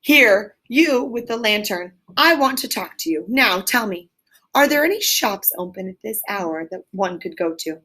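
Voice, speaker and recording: A woman's voice: medium pitch, expressive, sharp tone, slightly rough, poor audio. Woman voicing a hearty, bossy storybook rat. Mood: masterful, brisk. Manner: commanding, brisk, exclamatory. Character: hearty, masterful male rat, bossy and warm host.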